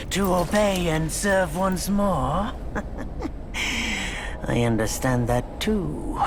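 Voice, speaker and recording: Defiant monkey king, slow and menacing, lots of swing in the voice, game scene with ambient noise. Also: mid-range voice, gravelly, heavily acted. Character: defiant weary male monkey king warrior. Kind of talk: video game cutscene acting.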